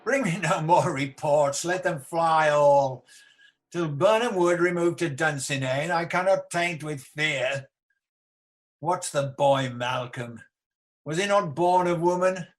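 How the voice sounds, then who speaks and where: An amateur actor playing an ageing, embattled tyrant king in a Shakespearean radio play, declamatory, defiant and world-weary. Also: on the high side. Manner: declamatory, slow, theatrical.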